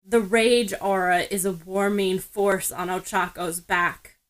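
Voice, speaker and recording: A woman's voice: medium pitch, fairly even intonation, slow pace. A woman narrating fan fiction expressively, as in an audiobook. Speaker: podfic reader.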